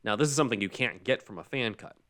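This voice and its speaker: A man's voice: mid-range voice, fast talker, fairly bright tone. A man hosting a podcast, chatting casually and explaining things as he reads out listener comments. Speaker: podcast host.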